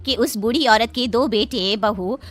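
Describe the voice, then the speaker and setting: A woman's voice: on the high side, a little slow, a bit bright, no room sound. A woman voicing a nosy, knowing village neighbour who gossips in a cartoon story. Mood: curious, gossipy.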